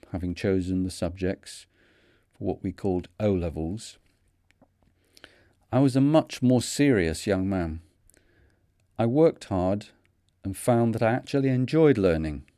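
A man in his fifties with a Manchester accent, hosting a podcast and narrating his own story in a measured, earnest way. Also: low voice, mellow, dry recording, clean studio sound. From North of England. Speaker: podcast host. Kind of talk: scripted reflective narration.

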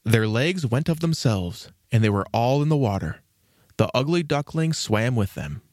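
A man reading scripted storybook narration as the narrator, in an expressive storytelling manner. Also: medium pitch, moderate pace, dry recording, studio quality.

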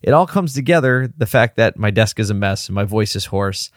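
Hoarse, tired man hosting a podcast. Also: lowish voice, expressive, a little fast, no room sound, clean studio sound. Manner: candid, rambling, pepping himself up. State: hoarse and low on energy. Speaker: podcast host, agency owner. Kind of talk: casual solo podcast talk. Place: office. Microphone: desk mic in his office.

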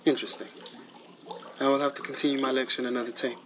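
A man playing a grave professor leaving recorded warnings in a sci-fi radio drama, lecturing in a measured, ominous way. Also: medium pitch, little pitch movement, noisy background, lightly acted. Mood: intrigued, deliberate. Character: grave male scientist professor leaving recorded survival instructions.

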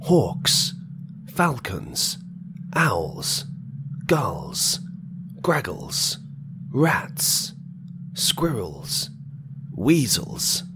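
A man playing a methodical alien telepath in an audio drama, deliberate and formal, coldly advising. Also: mid-range voice, big pitch swings, heavily acted. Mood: clinical, methodical. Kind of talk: scripted audio drama acting. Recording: loud ambient noise behind. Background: loud ambience.

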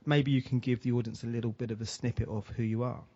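A man's voice: medium pitch, steady tone, fast talker, dark tone, slightly rough, dull audio. A man hosting a podcast interview, talking in a friendly, eager way with frequent restarts. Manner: friendly, stumbling restarts, enthusiastic. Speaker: podcast host.

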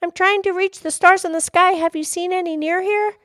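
A woman's voice: extremely high pitch, fairly even intonation, a little fast, a bit bright, no room sound, studio quality, pitched above the performer's own voice. A woman voicing a polite, determined little girl in a folk tale. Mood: bright hopeful.

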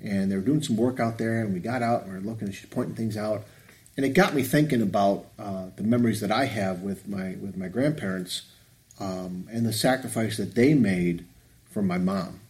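A man in his fifties with a lowish voice talks through memories and gratitude. He rambles and restarts his sentences often, in a relaxed podcast chat. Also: moderate pace. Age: 50s. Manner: reflective, rambling with many restarts. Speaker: podcast host. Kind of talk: casual chat.

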